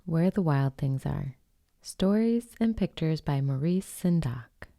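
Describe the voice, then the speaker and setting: A woman reading a children's picture book aloud as host, in an expressive storytelling manner with dramatic pauses. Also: sits low. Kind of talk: slow bedtime storybook read-aloud.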